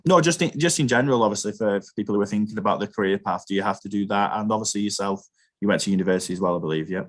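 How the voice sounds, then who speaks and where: A man's voice: on the low side, rapid-fire. A man interviewing a guest, asking eager, rambling questions.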